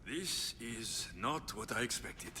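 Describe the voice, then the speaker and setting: Wry, animated Greek mercenary hero. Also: male voice, mid-range voice, takes it slowly, noisy background. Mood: wryly curious. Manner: dry, sardonic. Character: wry, sceptical male mercenary hero of ancient Greece. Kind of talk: video game cutscene acting. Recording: music playing behind the voice.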